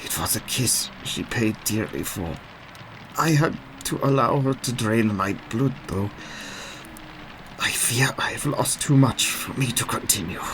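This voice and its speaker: An old male professor who hunts vampires, speaks with formal earnestness in a mid-pitched voice. It is radio drama acting with faint noise behind it. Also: lively intonation, unhurried, fairly bright tone, poor audio. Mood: weary.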